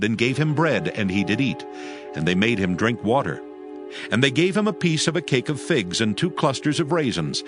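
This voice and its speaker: A male narrator reads scripture in a solemn, measured storytelling style. His voice is on the low side, and music plays clearly behind him. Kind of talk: scripted scripture narration, dramatized audio Bible.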